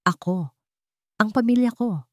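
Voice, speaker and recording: A woman with a Filipino accent voicing a calm, serious man with hidden bitterness in an audio drama. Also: medium pitch, a little slow, dry recording, clean studio sound, pitched above the performer's own voice, natural voice. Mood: bitter, grave.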